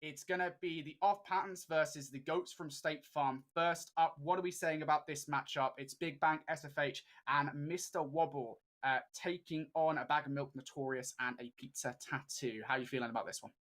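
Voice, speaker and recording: A man's voice: fairly high pitch, normal speed, hardly any pauses, a bit bright. A man casting an esports tournament in a chatty, upbeat way, with many fillers. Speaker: esports caster. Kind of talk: esports commentary.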